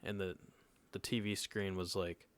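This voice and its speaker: A man's voice: deep voice, little pitch movement, unhurried. A nineteen-year-old man telling a personal story in casual podcast chat, laid-back and often checking in with his listeners. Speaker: podcast co-host.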